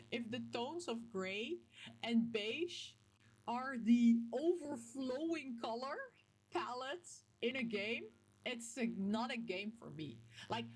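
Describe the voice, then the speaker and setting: A woman from the Netherlands with a Dutch accent chats casually to her viewers as a gaming vlogger, telling things in an animated, playfully emphatic way with little acted-out quotes. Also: on the high side. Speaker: video game vlogger and host. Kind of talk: casual, chatty talk to camera.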